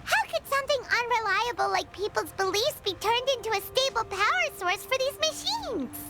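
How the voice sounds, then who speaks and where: Very squeaky tiny fairy girl. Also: lively intonation, moderate pace. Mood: puzzled, curious. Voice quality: squeaky, childlike. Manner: speaks of self in third person, exclaiming. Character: Paimon, tiny childlike fairy girl, chatty, puzzled companion. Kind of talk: video game character acting. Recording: loud game music underneath.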